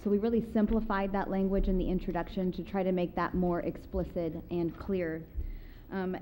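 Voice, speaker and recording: A woman's voice: lowish voice, warm tone, a little hiss or hum, low-quality recording. A woman on city planning staff presenting at a public meeting, careful and explanatory, with frequent fillers. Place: council chamber, seated at a table.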